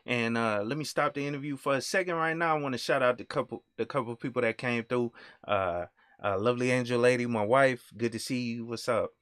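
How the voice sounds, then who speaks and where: A man's voice: medium pitch, fairly quick, a bit bright, dry recording, studio quality. Easygoing young man hosting a stream. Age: young adult. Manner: loose, trails off, "you know what I'm saying". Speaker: host. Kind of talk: casual live-stream interview.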